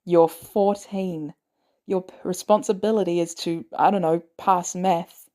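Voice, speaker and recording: A woman acts out a haunted former assassin in an audio story. He is weary and terse, with a dark, soft-edged tone, hesitant and dryly protective. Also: fairly low pitch, steady tone, a little slow, close to an everyday voice. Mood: protective, resigned. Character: weary, guilt-ridden male ex-assassin, gruff but protective.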